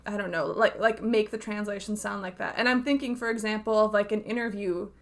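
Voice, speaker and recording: A woman's voice: mid-range voice, steady tone, fairly quick. A woman co-hosting a casual fan podcast, talking earnestly and rambling, with many fillers. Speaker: podcast co-host.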